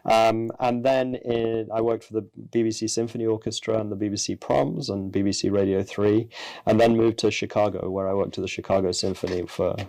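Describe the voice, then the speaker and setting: A man in his forties with a London accent, speaking as an orchestra president in a measured, earnest interview. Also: fairly low pitch, fairly even intonation, a little mellow, rough recording. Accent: London English. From born in Argentina, raised in London. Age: 40s. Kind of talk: interview conversation.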